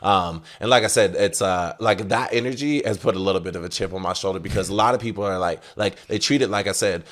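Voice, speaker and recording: Low voiced dude on a podcast, rambles, repeats himself, lots of slang and swearing. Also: male voice, fast, a bit bright. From Salt Lake City area. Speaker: podcast guest, DJ. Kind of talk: casual conversation.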